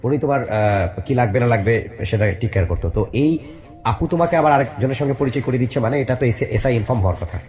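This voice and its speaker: Brisk Bangladeshi man interviewing, dull audio. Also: mid-range voice, expressive. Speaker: radio host, interviewer. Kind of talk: probing interview questions. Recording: dull lo-fi radio audio, background music.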